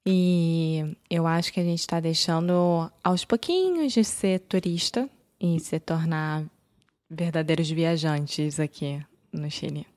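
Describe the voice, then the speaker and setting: A woman's voice: deep voice, takes it slowly, a little mellow. A woman with a Brazilian accent, chatting casually as a podcast co-host. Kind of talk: casual chat.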